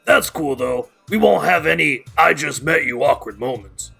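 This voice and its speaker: An actor playing a breezy, sheepish young party guy in an audio drama, with a rough, gravelly voice. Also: on the high side, lively intonation, moderate pace, bright, forceful tone, soft background music, exaggerated voice.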